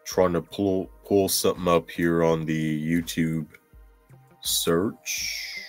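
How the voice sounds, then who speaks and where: Deep-voiced, slow-talking male podcast host. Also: steady tone, soft background music. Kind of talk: casual true crime commentary.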